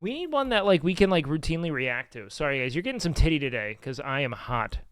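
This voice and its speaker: A man with a nasal voice chatting casually on his live stream, loud and excitable, swearing often. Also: a little high, fast, smooth, dry recording. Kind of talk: casual live-stream chat reacting to clips. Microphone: studio mic with noise gate.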